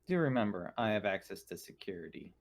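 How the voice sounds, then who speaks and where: Man playing a low-voiced, formal android. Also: big pitch swings, gravelly, exaggerated voice. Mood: dutiful, polite. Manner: measured, formal, precise phrasing. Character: formal, dutiful, protective male android crew member.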